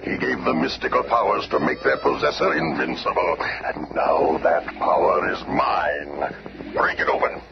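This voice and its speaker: An actor playing an imperious, scheming arch-villain in a radio drama, declaiming pompously in a gravelly, theatrical voice. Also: male voice, sing-song, moderate pace, exaggerated voice. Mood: gloating. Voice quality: gravelly, very deep. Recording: muffled, very noisy old radio recording.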